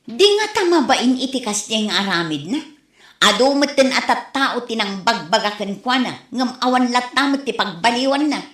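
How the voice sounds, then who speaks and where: Animated, high-pitched Ilocano woman playing a nagging wife. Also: moderate pace, roomy sound. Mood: indignant, gossiping. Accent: Filipino, Ilocano. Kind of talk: comedy audio drama acting.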